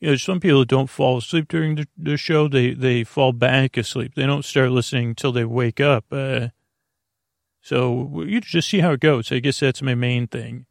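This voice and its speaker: A man's voice: mid-range voice, fairly even intonation, moderate pace, creaky, dry recording, studio quality. A man hosting a bedtime podcast, rambling and meandering with lots of fillers and restarts, amused by his own tangents. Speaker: podcast host.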